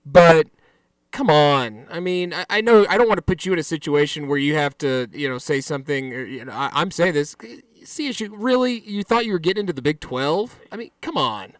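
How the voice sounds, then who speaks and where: Radio host guy, dry close studio mic, fairly high voice, stumbles and restarts but keeps pushing. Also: fairly quick, dry recording, low-quality recording. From Mexico. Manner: animated, stammering restarts, candid. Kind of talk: casual sports radio interview.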